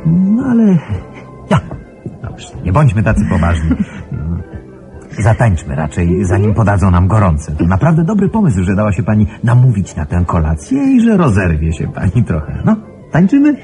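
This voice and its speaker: An actor playing a gallant, self-assured flirt in a radio play, with a suave, playful, courtly manner. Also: male voice, lowish voice, wildly swooping pitch, normal speed, mellow, faint music behind. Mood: flirtatious, playful.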